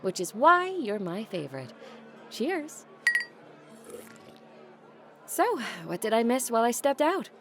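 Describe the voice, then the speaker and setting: An actress playing a sardonic, resentful adult daughter of a tycoon in an audio drama, dryly sarcastic and teasing. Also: female voice, a little high, sing-song, slow pace, pauses often, over loud music, heavily acted. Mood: wry, teasing. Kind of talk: naturalistic audio drama acting.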